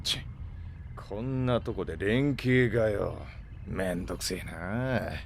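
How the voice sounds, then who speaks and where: An actor playing a gruff, grumbling freighter captain in a Japanese audio drama, with rough, slangy, irritable delivery. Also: male voice, lowish voice, takes it slowly, a little hiss or hum. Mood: irritated.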